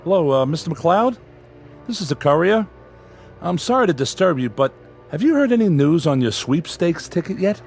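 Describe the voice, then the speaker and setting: An actor playing a driven, suspicious newspaper editor in a radio drama, curt, testy and quick to get defensive. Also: male voice, on the high side, sing-song, a little mellow, breathy edge, heavily acted. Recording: radio drama with music underneath.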